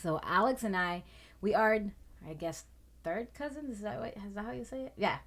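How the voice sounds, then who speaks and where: A thirty-year-old woman from Puerto Rico, a guest in a casual interview, loud and talkative. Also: medium pitch, expressive, moderate pace. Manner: loud, talkative, swears and laughs often.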